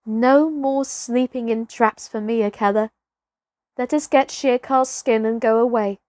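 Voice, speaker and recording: A woman's voice: fairly high pitch, steady tone, unhurried, a little mellow, dry recording, studio quality, natural voice. A woman voicing a bold, scornful young herdsboy raised by wolves, with archaic, commanding speech in a storybook reading. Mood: resolved.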